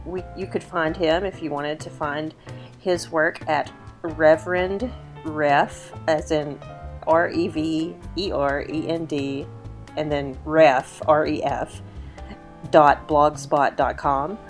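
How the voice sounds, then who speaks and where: A woman co-hosting a podcast in casual chat, raspy and half losing her voice from a cold, laughing often. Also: low-pitched, steady tone, slow, soft background music. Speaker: podcast co-host.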